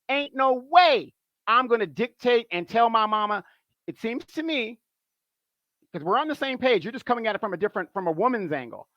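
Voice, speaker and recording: Animated man on a dull, dry line. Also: high-pitched, no echo. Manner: animated, repetitive, emphatic. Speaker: guest. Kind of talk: casual opinion talk.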